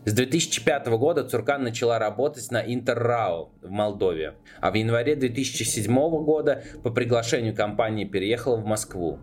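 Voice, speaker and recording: A man's voice: medium pitch, fairly quick, few pauses, a little mellow. A man narrating a scripted documentary podcast as its narrator. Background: background music.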